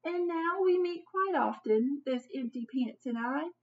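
High-pitched woman reading a picture book aloud. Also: expressive, a little slow, a little mellow. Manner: dramatic, teacherly, asks questions of the listeners. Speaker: children's story reader. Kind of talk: expressive picture-book read-aloud with questions to young listeners. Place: small home office, indoors. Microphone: computer webcam at desk distance. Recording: dull webcam audio, distant from mic.

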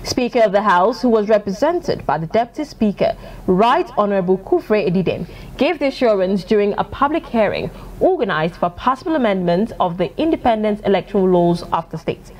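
Nigerian lady reading the tv news, medium pitch, scripted anchor delivery. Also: big pitch swings, fairly quick, darkish tone. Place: TV news studio.

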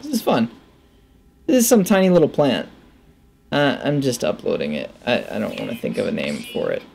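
A man in his thirties giving casual gameplay commentary, halting and muttering to himself as he names his discoveries. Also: mid-range voice, very animated, creaky, darkish tone, a little hiss or hum. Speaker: gaming video host. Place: small indoor room at a computer.

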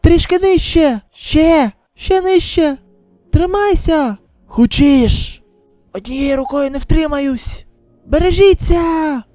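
A woman acts out a frantic survivor in a manga drama. The voice is high and urgent, shouting orders. It is recorded dry, with music behind it. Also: takes it slowly, no room sound.

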